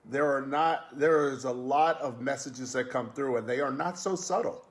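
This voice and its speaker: A man's voice: mid-range voice, moderate pace. A man hosting an online interview, speaking enthusiastically and praising the guest's work. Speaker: interviewer host.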